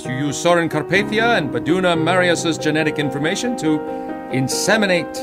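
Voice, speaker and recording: A man acting a young prodigy in an audio drama, cold and calculating. His voice sits fairly high and swings widely in pitch, with clipped, imperious formality. Loud piano music plays behind him. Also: expressive, brisk, lightly acted. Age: young adult.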